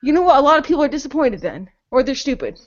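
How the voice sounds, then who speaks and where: High voiced woman ranting casually on a podcast, blunt and sweary, kinda muffled lo-fi sound. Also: sing-song, fast talker. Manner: blunt, sarcastic. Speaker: podcast co-host. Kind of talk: casual, sweary opinionated chat.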